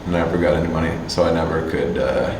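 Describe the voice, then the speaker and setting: A man from Canada answering interview questions casually, halting with many fillers and restarts. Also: rumbling low, steady tone. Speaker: musician and agency owner. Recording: distant mic, echoey room, faint hum.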